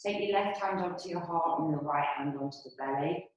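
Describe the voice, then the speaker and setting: A woman instructor guiding restorative yoga and meditation in a calm, soothing, unhurried manner with long pauses. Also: deep voice, lo-fi sound. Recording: very echoey, reverberant room.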